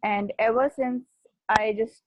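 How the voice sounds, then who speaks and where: A woman from Pakistan with a Pakistani accent, an Urdu speaker, talking casually as a guest language trainer and filling her pauses with frequent "uh". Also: a little high, steady tone. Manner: unhurried, fills pauses with frequent "uh". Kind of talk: casual conversational talk. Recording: dull muffled audio with faint hiss.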